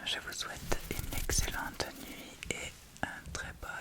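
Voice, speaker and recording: Whispering woman doing ASMR. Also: measured pace. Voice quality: soft breathy whisper. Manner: informal, chatty, thinking aloud. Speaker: ASMR video host. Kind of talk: whispered ASMR makeup tutorial, casual.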